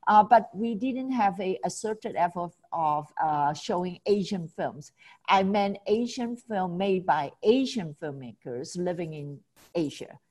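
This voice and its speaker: A woman's voice: lowish voice, animated, measured pace, a little mellow. A middle-aged woman from Hong Kong, guest in a casual interview, talking with enthusiasm, many restarts and small laughs. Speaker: film festival founder, guest.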